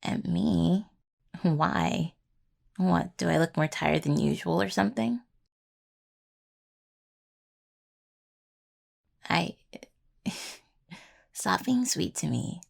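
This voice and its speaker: A young adult woman playing an anxious, sweet necromancer healing student in intimate roleplay audio, with a gravelly, creaky voice and a hesitant, rambling, casual delivery. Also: fairly low pitch, takes it slowly, pauses often, a little mellow, heavily acted. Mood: affectionate, teasing.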